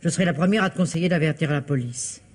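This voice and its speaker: An actress playing a sensible middle-aged aunt who soothes and gently chides, in an old French radio drama style. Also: female voice, sits low, rapid-fire, soft background music. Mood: firm, calming. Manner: reasoning, chiding, expressive.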